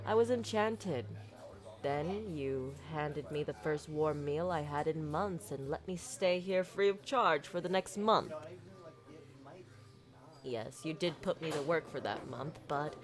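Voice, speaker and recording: A woman playing a flirty, silver-tongued pirate captain in a romantic ASMR roleplay, tender and sincere, with flowery, theatrical phrasing and deliberate pauses. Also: low voice. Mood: flirty, charming. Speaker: audio roleplay performer. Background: background music.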